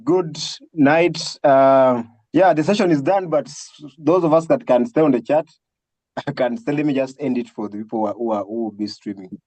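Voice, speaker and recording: Halting male online session host, dry sound. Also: medium pitch, darkish tone, no echo, low-quality recording. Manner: halting, repeats words and phrases. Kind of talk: casual group video-call chat. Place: online video call.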